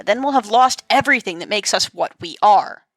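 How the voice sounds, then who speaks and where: A woman voicing a proud, indignant queenly goddess in an audio drama, speaking sharply and forcefully. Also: mid-range voice, animated, brisk, no room sound. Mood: impassioned, pleading.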